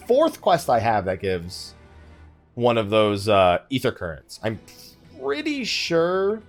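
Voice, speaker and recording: A man narrates his gameplay in a casual, conversational style. His mid-pitched voice moves up and down a lot as he thinks aloud with wry asides. Game music plays behind him. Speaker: gaming YouTuber, host of the let's play. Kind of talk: casual let's play commentary.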